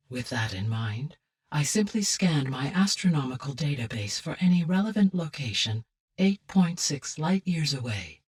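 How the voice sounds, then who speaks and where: Casting: a calm female video game AI, low and breathy-husky, measured, exact and formal, heard slightly off-mic. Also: recorded from a distance, full character voice. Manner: measured, formal, precise diction. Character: calm, analytical female artificial intelligence. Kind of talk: scripted video game dialogue.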